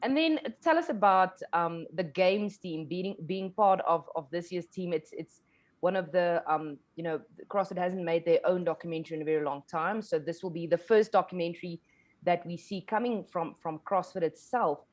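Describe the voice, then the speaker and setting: A woman's voice: lowish voice, normal speed. A woman hosting a casual interview show, upbeat and asking questions, with some fillers. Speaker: podcast host. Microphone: video call.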